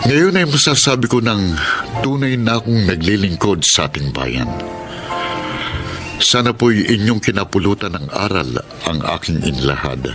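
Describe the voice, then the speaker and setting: A man's voice: fairly low pitch, sing-song, normal speed, over music. A man playing an earnest policeman narrating his life story in a radio drama, solemn and reflective.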